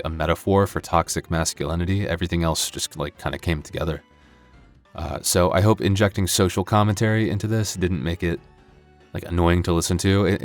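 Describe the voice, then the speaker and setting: A man who makes roleplay audio, chatting casually to his listeners in a rambling, jokey, self-deprecating way. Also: low voice. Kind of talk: casual outro chat to listeners.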